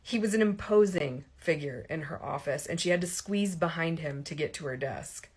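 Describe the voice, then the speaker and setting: A woman reading a story aloud as an audiobook narrator, in an expressive scripted style. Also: sits low, moderate pace, slightly rough.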